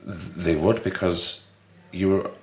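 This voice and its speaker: A man's voice: low voice, fairly even intonation, slow, reverberant. A man hosting a podcast, chatting casually as he interviews a guest. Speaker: podcast host.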